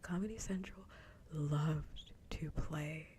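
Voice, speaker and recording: A woman speaks in a low, soft and breathy whisper, very close to the microphone as on an ASMR stream. Her tone is dark and mellow, and her chat is casual and frankly opinionated. A faint low rumble sits behind the voice. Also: slow pace. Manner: casual, opinionated, chatty with dry humour.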